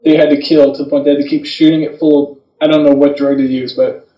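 A man co-hosting a podcast, telling personal anecdotes in a casual, matter-of-fact way with halting phrasing. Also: fairly high pitch, level tone, muffled recording. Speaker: podcast co-host. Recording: roomy, distant from microphone.

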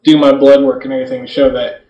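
A man co-hosting a podcast, telling personal anecdotes in a casual, matter-of-fact way with halting phrasing. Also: medium pitch, level tone, warm tone, dull audio. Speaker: podcast co-host. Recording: roomy, distant from microphone.

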